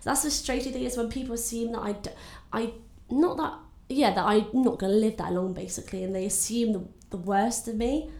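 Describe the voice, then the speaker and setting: A woman's voice: a little high, brisk, darkish tone. A young woman with a nasal voice chatting casually as a guest, talking openly and filling her speech with "like". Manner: chatty, full of fillers. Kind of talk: casual chat. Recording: echoey room.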